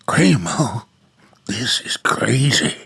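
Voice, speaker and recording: A husky young grandson, voiced by a man, anxious and exasperated. His delivery stammers and swings wildly in pitch at a slow, hesitant pace, with radio play dramatics. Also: big pitch swings, a bit bright, exaggerated voice. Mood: worried.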